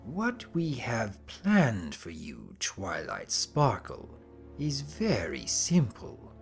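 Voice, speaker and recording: A man voicing a smug, sinister pony cult leader who speaks slowly and formally, in a dramatic story reading. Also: lowish voice, huge pitch swings, vocal fry, faint music behind, full character voice. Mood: smug, superior. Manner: slow, smug, formal and menacing.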